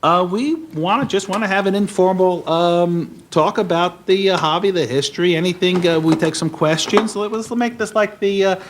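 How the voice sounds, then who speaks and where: A man with a slightly high-pitched voice hosts an informal panel. He introduces guests in a halting, hesitant manner, feeling his way through each phrase. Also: a little hiss or hum. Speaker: panel host. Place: convention panel room.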